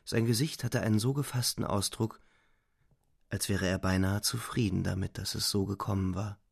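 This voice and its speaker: Low, creaky weary war narrator. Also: male voice, steady tone, moderate pace, no echo, clean studio sound. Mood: solemn. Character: weary, resigned male soldier-narrator of a war story. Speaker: actor narrating. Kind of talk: scripted literary narration in radio drama. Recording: music behind the voice.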